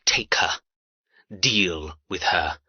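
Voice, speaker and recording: Man playing a raspy, menacing older patriarch. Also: deep voice, very slow, sharp tone, dry recording, muffled recording, exaggerated voice. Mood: stern menace. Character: menacing older patriarch, cold, domineering, contemptuous father. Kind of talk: dramatic audiobook character dialogue.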